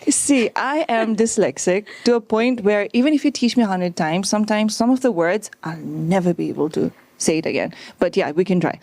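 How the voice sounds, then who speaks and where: A woman's voice: medium pitch, brisk, hardly any pauses, a little mellow, dry recording. A woman hosting a casual chat interview in an effusive, informal, exclaiming way. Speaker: interviewer, host.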